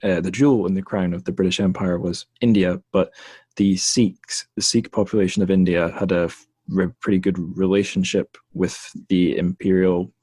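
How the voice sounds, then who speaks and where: A man from the United Kingdom hosting a podcast interview in a casual way, pausing often with fillers and restarts. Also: low-pitched, fairly even intonation, darkish tone, slightly rough, dry recording. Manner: hesitant, many fillers and restarts. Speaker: podcast host.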